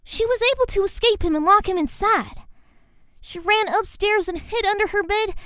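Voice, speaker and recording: Very high-voiced little filly telling spooky tales. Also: female voice, fairly bright tone, no echo, clean studio sound. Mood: ominous storytelling. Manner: slow, theatrical. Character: young filly pony girl telling spooky stories. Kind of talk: dramatic ghost-story telling.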